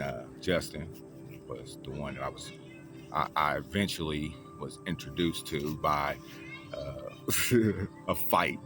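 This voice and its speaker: A young man telling his life story in a rambling, profane, casual way, with many restarts. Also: rumbling low. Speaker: vlogger. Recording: loud music playing behind the voice.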